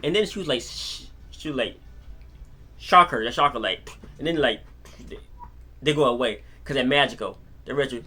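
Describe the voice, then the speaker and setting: A man giving an unscripted casual film review, excitable and rambling, often repeating his words as he retells scenes. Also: medium pitch.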